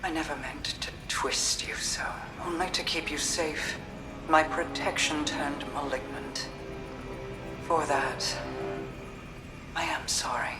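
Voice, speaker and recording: A woman's voice: deep voice, fairly even intonation, slow pace, loud music behind the voice. A woman playing a regal, guarded queen and protective sister in a video game, speaking formally and solemnly. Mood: remorseful, tender. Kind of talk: scripted video game drama.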